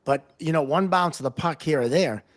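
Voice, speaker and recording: A man hosting a podcast, chatting casually about hockey in a loose, halting way as he thinks aloud. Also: fairly high pitch. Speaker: podcast host. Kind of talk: casual sports chat.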